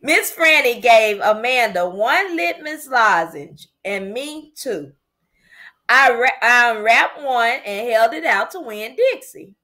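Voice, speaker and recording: A young woman reading a children's story aloud like a teacher, in a deliberate and expressive manner. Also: fairly high pitch. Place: kitchen area of a home, indoors. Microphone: webcam or laptop at arm's length.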